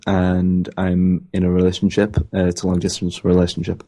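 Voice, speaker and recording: A man's voice: deep voice, monotone, moderate pace, warm tone. A man of nineteen, a podcast guest talking casually with some hesitant restarts. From United Kingdom. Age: teens. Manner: hesitant, stammering. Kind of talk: casual group chat.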